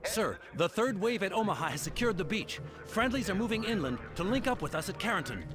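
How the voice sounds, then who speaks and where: A man playing a dutiful military aide in a war game, giving brisk, formal battlefield reports. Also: high voice, very animated, music in the background. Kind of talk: video game voice acting, radio-room reports.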